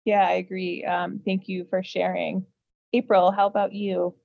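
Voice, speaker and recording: A woman's voice: fairly low pitch, flat delivery, moderate pace, vocal fry, dry recording. A woman hosting a podcast panel who reads polished introductions and then moderates the guests. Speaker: podcast host. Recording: dull, dry lo-fi audio.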